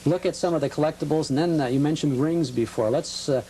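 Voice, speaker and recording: A man's voice: a little high, fast talker, dark tone, a little hiss or hum, poor audio. A man hosting a TV interview, asking curious, prompting questions and hesitating often along the way. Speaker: TV interview host. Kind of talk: conversational TV interview.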